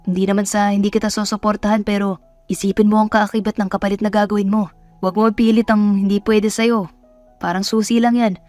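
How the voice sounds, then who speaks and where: A woman's voice: mid-range voice, little pitch movement, quick pace, a little mellow, no echo, soft background music, lightly acted. Woman reading as a blunt, cautioning friend. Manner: matter-of-fact, admonishing.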